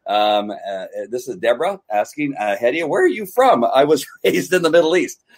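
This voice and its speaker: A man's voice: mid-range voice, expressive, moderate pace, darkish tone. A man hosting a casual livestream chat, speaking in an earnest, playful and hesitant way. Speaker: host.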